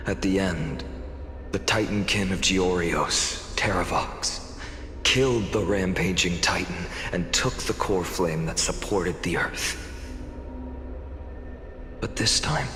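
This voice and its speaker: A grave swordmaster in a fantasy game, speaking very slowly and solemnly in a lowish voice. He sounds weary and burdened by fate. His words ring out in a long reverb over loud music. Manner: slow, solemn, deliberate delivery. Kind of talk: dramatic video game dialogue and narration.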